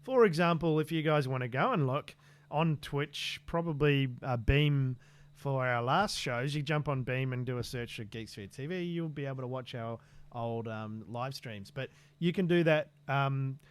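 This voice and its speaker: A man's voice: mid-range voice, moderate pace, clean tone. A man co-hosting a tech podcast in an easygoing, casual chat full of fillers and restarts. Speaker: podcast co-host.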